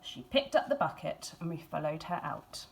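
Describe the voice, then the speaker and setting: A woman's voice: deep voice, animated, normal speed, gravelly. Woman reading a children's storybook, noisy recording. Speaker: school staff member reading a picture book aloud.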